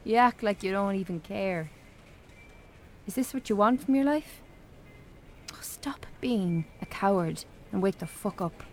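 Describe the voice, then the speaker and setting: Frank, gravelly-edged Irish woman. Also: mid-range voice, lively intonation, a little slow, pauses often, a little mellow, slight background noise. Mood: blunt, frustrated. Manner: blunt, earnest, probing. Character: frank, concerned small-town woman confronting an old friend. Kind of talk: naturalistic radio drama acting.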